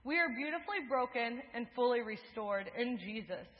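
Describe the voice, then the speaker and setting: A young woman preaching a sermon in an earnest, measured way, moving through lists in a steady rhythm, then leading the congregation in prayer. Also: on the high side. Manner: earnest, measured, with list-like cadences. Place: church sanctuary. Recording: dull sound, echoing in a large hall.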